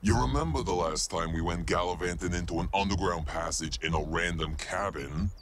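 A man's voice: sits low, brisk, fairly bright tone, a little hiss or hum. A man playing a wary, laid-back companion in an adventure audio drama.

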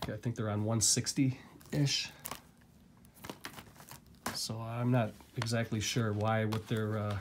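Man, low voice, easygoing home vlogger with a cough, faint room hiss. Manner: relaxed, rambling, filler-heavy. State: getting over a cold, coughing. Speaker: collector vlogger. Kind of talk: casual unboxing chat.

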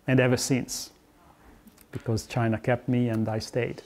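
A man's voice: mid-range voice, little pitch movement, a little slow, a little mellow. A middle-aged man from Hungary, speaking in an earnest, upbeat interview tone with small restarts, on a lapel mic in an office. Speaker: interviewee, Olympic organizing expert. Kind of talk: relaxed interview talk. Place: indoor office.

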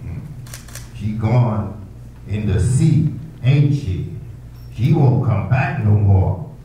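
A man's voice: lowish voice, animated, takes it slowly, dark tone, a little hiss or hum. A man acting a simple-minded, frightened old beachcomber who speaks in rustic, broken grammar, recorded muffled and echoey from a distance. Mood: probing. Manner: rustic ungrammatical speech, frightened pleading. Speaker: amateur radio drama actor.

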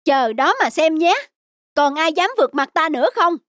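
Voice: sits high, a bit bright, no room sound, clean studio sound. An actor voicing an arrogant, scheming young scholar in a cartoon, boastful and sneering. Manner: boastful, sneering, drawn-out taunts. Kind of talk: cartoon voice acting.

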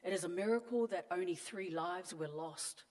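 A middle-aged woman from Tonga, giving a measured, earnest panel speech on stage into a handheld mic. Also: fairly low pitch, darkish tone. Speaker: panelist, member of parliament. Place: large conference hall on stage. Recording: long hall reverb.

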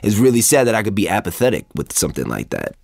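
Male interview guest, low register, candid and reflective, dry recording. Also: big pitch swings, fast, creaky, a little mellow, dry recording. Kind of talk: casual reflective conversation.

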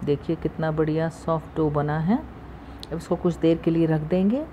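A woman hosting a cooking channel, calmly talking through the steps of a recipe in her home kitchen, recorded on a phone. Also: low-pitched, normal speed, muffled recording, slight background noise. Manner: calm, instructive, repeats steps. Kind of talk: step-by-step recipe voice-over.

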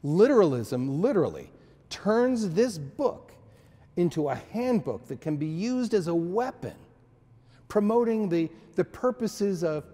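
Older guy preaching slow in a big echoey church, mellow voice that swings up and down a lot. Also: fairly high pitch. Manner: slow, measured preaching with many restarts and emphatic pauses. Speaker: pastor. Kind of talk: sermon. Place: church sanctuary, indoors.

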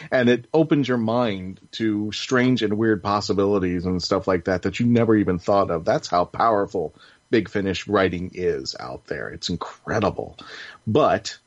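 A man with a fairly low voice hosts a casual podcast panel. He rambles along enthusiastically, close and dry, through slightly dull, lo-fi audio. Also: moderate pace, dry recording. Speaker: podcast host.

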